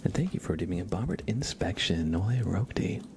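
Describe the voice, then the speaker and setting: A man hosting an ASMR livestream, chatting casually and gently with viewers in a soft, breathy voice that often drops into a whisper, close to the microphone. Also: low voice, animated, normal speed, a little hiss or hum. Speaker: livestream host.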